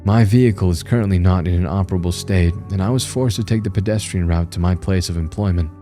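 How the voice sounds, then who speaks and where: A man voicing a calm, formal, contemptuous male entity that possesses a human body. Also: deep voice, fast, soft background music, close to an everyday voice.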